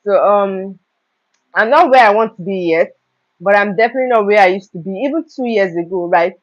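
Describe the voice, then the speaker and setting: A young woman hosting a live stream, talking earnestly about self-improvement and often checking in with "okay?" and "right?". Her throat is slightly hoarse. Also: mid-range voice, fairly quick. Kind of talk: motivational talk on a live stream. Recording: dull lo-fi live stream audio.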